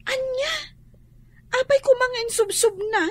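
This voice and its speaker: A woman's voice: very high-pitched, unhurried, no room sound. An actress playing a concerned, exclamatory village woman in a radio drama. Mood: chatty, surprised.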